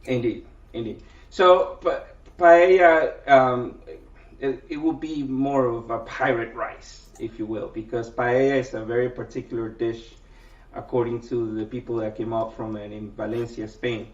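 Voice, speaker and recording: A man born in Venezuela who grew up in Miami, a guest cook answering questions in a halting way, full of fillers and restarts. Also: mid-range voice, fairly even intonation. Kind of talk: casual interview answers. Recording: roomy, distant, dull lo-fi sound.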